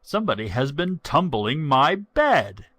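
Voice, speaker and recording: A man's voice: a little high, sing-song, unhurried, a bit bright, no room sound, studio quality, voice pushed up, heavily acted. A man voicing a big, grumpy storybook bear with a growling voice. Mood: grumpy, suspicious.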